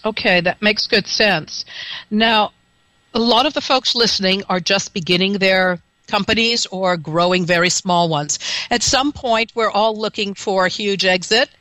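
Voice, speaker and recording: Bright woman host with a scratchy voice. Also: medium pitch, measured pace, no echo. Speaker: radio show host. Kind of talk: hosting an interview.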